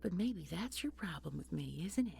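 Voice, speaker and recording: A woman's voice: fairly low pitch, expressive, moderate pace, creaky, mellow. A woman playing an ancient nightmare posing as a business executive in an audio horror drama, mock-sweet and menacing, with folksy, condescending pet names. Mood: taunting. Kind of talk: audio horror roleplay acting.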